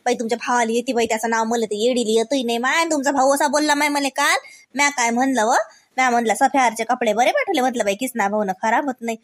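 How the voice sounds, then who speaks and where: A woman's voice: fairly high pitch, steady tone, quick pace, lightly acted. A woman voicing a chatty, unpolished village sister-in-law in a comic cartoon, with a Varhadi Marathi accent and a folksy, rambling, word-searching manner. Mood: rambling, indignant.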